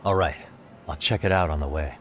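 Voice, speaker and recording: A man's voice: rumbling low, brisk, fairly bright tone, slight background noise. An actor playing a cool, determined male detective in a video game, talking in a terse, casual, unfazed way. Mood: focused. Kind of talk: English video game voice acting.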